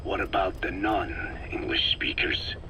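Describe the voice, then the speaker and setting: An actor playing a grave, suspicious man in a video game, speaking in a breathy, ominous whisper and pressing his warnings. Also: low voice, background noise. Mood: ominous, probing. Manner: slow, ominous, insistent questioning. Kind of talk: dramatic video game voice acting.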